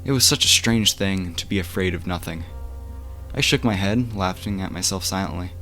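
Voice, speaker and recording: A man's voice: low voice, normal speed, bright, forceful tone. A man reading a first-person horror story aloud as bedtime narration, like an amateur audiobook with the occasional stumble. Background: music.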